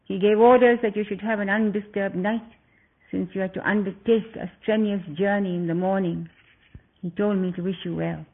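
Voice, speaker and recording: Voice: mid-range voice, steady tone, moderate pace, close to an everyday voice. A loyal young prince speaks in a subdued, grief-choked voice, as a storyteller voices him. The sound is narrow and tinny, like a phone call. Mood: sorrowful.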